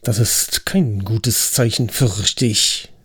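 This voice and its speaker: Man doing a slow, timid gentle man. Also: lowish voice, husky, heavily acted. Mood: worried. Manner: slow, soft and worried.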